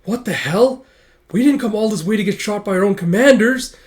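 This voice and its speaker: A man's voice: high voice, measured pace, voice pushed up. A man voicing a battered, frightened woman soldier from a war manga, with strained, urgent delivery. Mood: alarmed, angry.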